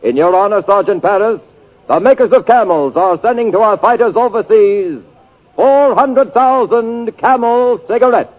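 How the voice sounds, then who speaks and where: A man's voice: high-pitched, normal speed, narrow, tinny audio. A man reading a formal, earnest tribute on a 1940s radio broadcast. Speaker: announcer.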